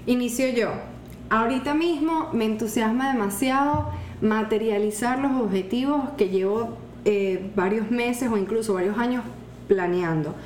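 A woman in her twenties with a Venezuelan accent, co-hosting a casual podcast chat in a calm, measured way. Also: fairly high pitch. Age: 20s. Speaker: podcast co-host. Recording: echoey room with faint noise.

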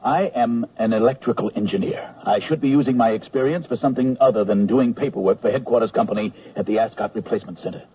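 A man playing a disgruntled, brisk army captain in a radio comedy. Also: mid-range voice, natural voice. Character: disgruntled army captain stuck doing paperwork. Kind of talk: 1970s radio comedy acting. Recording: muffled, noisy 1979 radio recording.

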